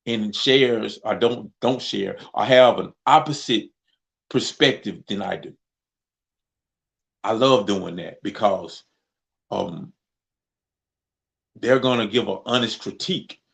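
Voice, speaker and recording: Young man with a Mississippi Southern drawl, heavy vocal fry, reflective and conversational, repeats himself for emphasis. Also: medium pitch. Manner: reflective, repeats phrases, laughs easily. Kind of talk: casual conversation.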